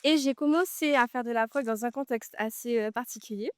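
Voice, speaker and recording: A woman in her twenties from French Guiana, a guest speaking in a relaxed, hesitant way with frequent fillers, outdoors on a trail. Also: a little high, brisk, clean tone, dry recording, studio quality. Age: 20s. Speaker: guest, medical student. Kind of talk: casual interview.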